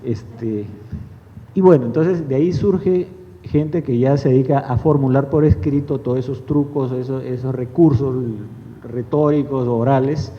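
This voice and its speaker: A man's voice: mid-range voice, fairly even intonation, measured pace. A middle-aged man with a Lima accent from Peru, giving a wry, digressive public lecture with many fillers. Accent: Lima Peruvian Spanish. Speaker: guest speaker. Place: lecture hall. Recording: echoey hall, dull lo-fi sound.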